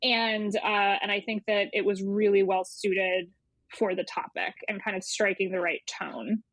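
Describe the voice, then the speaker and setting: A woman's voice: medium pitch, steady tone, unhurried, a bit bright, no echo. Woman editor talking, close and dry. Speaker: magazine editor, interview guest. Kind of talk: casual, thoughtful interview talk.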